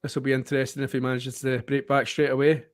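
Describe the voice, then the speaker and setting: A man's voice: medium pitch, flat delivery, fast, no echo. Scottish man calling tennis, dry close sound. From Scotland. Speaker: tennis commentator, co-host. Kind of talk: live play-by-play watchalong commentary.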